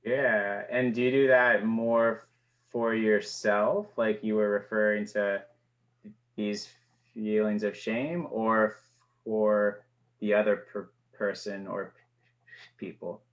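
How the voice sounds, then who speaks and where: A man interviewing a guest, asking questions slowly and hesitantly. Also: on the low side, steady tone. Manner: slow, measured, hesitant. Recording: dull lo-fi sound.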